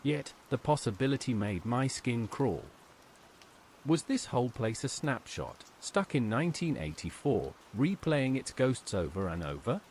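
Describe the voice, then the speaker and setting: A man reads a scripted horror tale as its narrator. His delivery is measured and dramatic, with a medium-pitched voice that moves widely up and down. Soft rain runs behind him. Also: very animated, a little hiss or hum.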